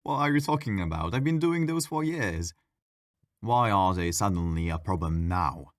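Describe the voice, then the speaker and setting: A man's voice: deep voice, lively intonation, normal speed, no room sound, clean studio sound. A man playing a petulant, mischievous ghost who haunts a library, talking in a sassy, casual, complaining way in an ASMR audio roleplay. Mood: defensive.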